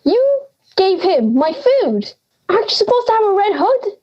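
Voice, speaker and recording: A woman's voice: very high voice, big pitch swings, normal speed, mellow, dull audio. A young woman playing a cranky, forgetful old granny in an audio drama, brusque, sarcastic and theatrical. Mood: indignant. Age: young adult.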